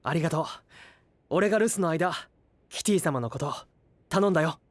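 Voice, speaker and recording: An actor playing an earnest, composed and modest young knight in an anime-style voice drama, speaking straightforwardly. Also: male voice, on the high side, moderate pace, a little hiss or hum, lightly acted. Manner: expressive, straightforward. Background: music.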